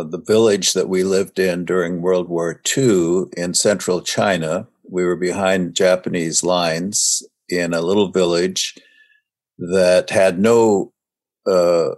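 Older man, very deep and slow. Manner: slow, reflective storytelling with many hesitations. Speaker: interview guest. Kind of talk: reminiscing in conversation.

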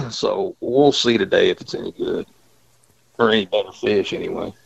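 A gravelly man calling in over a dull-sounding phone line. He rambles in a drawl and clears his throat a lot. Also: low voice, animated, normal speed. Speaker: fishing guide calling in. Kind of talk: casual phone chat.

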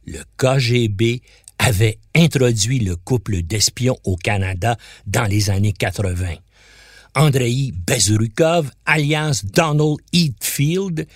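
A man's voice: medium pitch, dry recording, studio quality. A man narrating a scripted documentary as a podcast host, in a dramatic storytelling manner.

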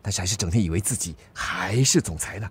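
A husky-voiced man, an exasperated grown son in a radio drama. His pitch swings widely as he talks in a brusque, impatient way. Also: lively intonation, fast talker, dry recording, exaggerated voice. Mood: resigned. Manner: impatient, sighing.

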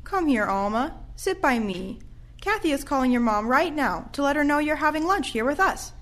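A woman's voice: high voice, expressive, moderate pace. A woman playing a cheerful, encouraging care home activity leader in an audio play. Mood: coaxing. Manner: encouraging, gentle persuasion.

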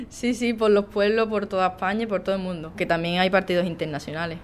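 Clear-voiced young woman. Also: medium pitch, brisk. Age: young adult. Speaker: young footballer guest. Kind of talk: casual acted dialogue.

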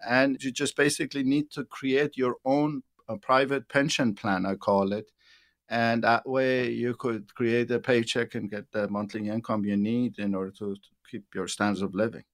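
A man's voice: mid-range voice, fairly even intonation, normal speed, dry recording. A man in his sixties, a financial advisor hosting a radio show, chatting casually with plenty of fillers and repeated words. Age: 60s.

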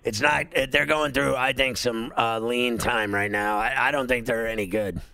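A man's voice: mid-range voice, fairly even intonation, normal speed, gravelly, pushed and bright, clean tone, no room sound. A man hosting a sports talk radio show, blunt and opinionated, rambling through fillers as he takes calls.

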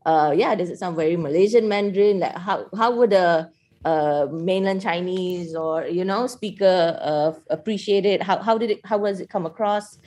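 A woman sharing her thoughts on a play in a casual review chat, hesitant and halting as she searches for words. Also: fairly low pitch, warm tone. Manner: hesitant, many fillers and restarts. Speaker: theatre reviewer.

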